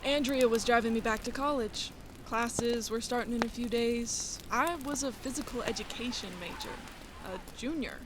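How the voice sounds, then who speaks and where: A woman's voice: fairly high pitch, normal speed, natural voice. A woman playing a wistful young college student survivor in a comic dub, speaking plainly and conversationally. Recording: noisy background with clicks.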